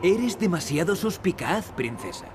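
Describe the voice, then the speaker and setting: Wry young adventurer, lively pitch swings. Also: male voice, measured pace, background noise. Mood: teasing. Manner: sardonic, teasing. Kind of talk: video game dubbing in Spanish.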